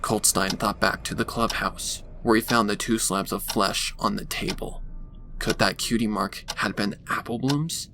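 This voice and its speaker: A man's voice: fairly low pitch, fairly even intonation, measured pace. A man narrating a scripted dramatic story on a noisy home recording.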